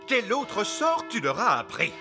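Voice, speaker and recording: A woman's voice: mid-range voice, sing-song, a little slow, sharp tone, faint music behind. A woman playing a stern, bossy fairy queen in a French-dubbed children's cartoon, scolding and commanding.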